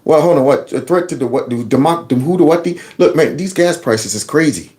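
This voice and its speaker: Animated high-voiced man mocking. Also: fairly quick. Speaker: commentary vlogger. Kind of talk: casual political commentary.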